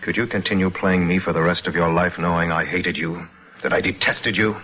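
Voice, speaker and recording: An actor playing a grim, determined chess master, delivered with earnest intensity in old-time radio drama style. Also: male voice, low-pitched, fairly even intonation, brisk, soft background music, lightly acted. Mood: bitter, resolute. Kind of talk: old-time radio drama acting and first-person narration. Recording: muffled old radio recording.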